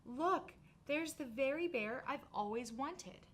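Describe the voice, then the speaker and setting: Woman as eager high-pitched little girl. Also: animated, takes it slowly, fairly bright tone, slight background noise, exaggerated voice. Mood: longing.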